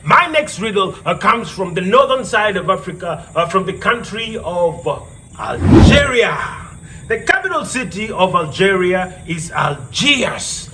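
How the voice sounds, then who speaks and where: A man performing as a live storyteller and riddle host, theatrical, repeating phrases and pausing for effect. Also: high voice, big pitch swings, very noisy. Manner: theatrical, repeats phrases, pauses before answers. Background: music.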